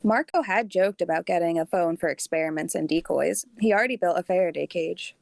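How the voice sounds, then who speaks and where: A woman playing a terse, matter-of-fact radio show assistant with a clipped, deadpan delivery. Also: fairly low pitch, brisk, creaky. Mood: flat, terse.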